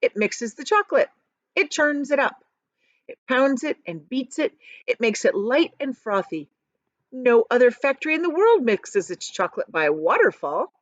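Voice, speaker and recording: An eccentric, excitable male chocolate maker from a storybook, played by a woman reader. He boasts and exclaims with emphasis, his pitch leaping about freely. The sound is dry and a little muffled. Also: normal speed, no room sound. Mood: proud, excited. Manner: emphatic, exclamatory, repeats phrases for effect.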